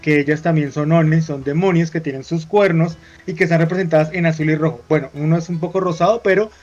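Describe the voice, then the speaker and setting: A man from Colombia, co-hosting a talk show, explaining at length with drawn-out hesitations. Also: fairly high pitch, steady tone, darkish tone. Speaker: co-host. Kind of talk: casual panel chat. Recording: dull lo-fi sound.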